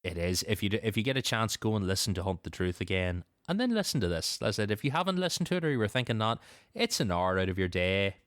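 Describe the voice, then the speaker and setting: A man's voice: lowish voice, big pitch swings, quick pace, fairly bright tone, dry recording, clean studio sound. A man hosting a podcast in a casual, conversational style. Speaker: podcast host.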